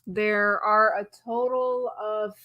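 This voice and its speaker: A woman co-hosting a live auction stream, adding short quiet remarks. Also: mid-range voice, steady tone, slow pace, dull audio. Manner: brief quiet interjections. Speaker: co-host. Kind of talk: casual live stream chat.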